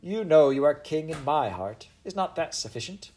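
Storybook queen, played by a man: Elizabethan, hot-tempered, commanding, pitched up and forcefully loud. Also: sing-song, moderate pace. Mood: fond, teasing. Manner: commanding, archaic royal speech. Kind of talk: storybook character voice.

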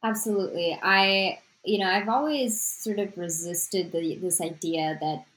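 Soft-spoken woman author, lower pitch. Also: unhurried, poor audio. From Sri Lanka. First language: Tamil. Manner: thoughtful, frequent fillers and restarts. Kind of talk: interview conversation.

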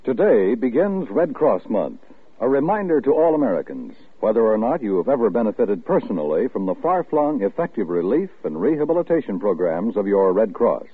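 A man's voice: on the low side, big pitch swings, a little fast, husky, no room sound. A man announcing from a script in a formal, emphatic old-time radio style. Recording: muffled, tinny old radio broadcast.

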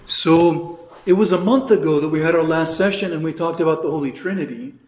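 A man, a priest recovering from illness, teaching a catechism class in a conversational way, his voice slightly raspy and a little weak. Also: on the high side, a little fast. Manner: conversational, with many fillers and restarts. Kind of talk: informal religious teaching. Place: church classroom. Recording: echoey room.

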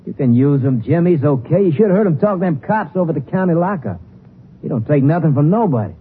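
A man's voice: on the high side, expressive, fast talker, slightly rough, exaggerated voice. An actor playing a dim, pleading small-time crook in a 1950s radio crime drama, folksy and wheedling in his talk. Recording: very noisy, narrow old radio broadcast.

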